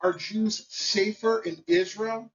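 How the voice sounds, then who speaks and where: A man delivers his opinions in clipped, emphatic phrases, pausing often between them. His voice sits a touch high for a man. The recording is distant and slightly muffled. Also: fairly even intonation. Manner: emphatic, blunt and colloquial, with pauses between short phrases. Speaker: vlogger. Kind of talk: personal opinion monologue to camera.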